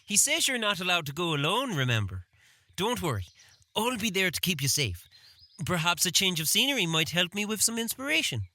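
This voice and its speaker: Earnest young village big sister, bright voice, really expressive, audio drama. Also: female voice, fairly low pitch, fairly quick. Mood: reassuring. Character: determined, protective older sister, young villager. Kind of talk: fantasy audio drama acting.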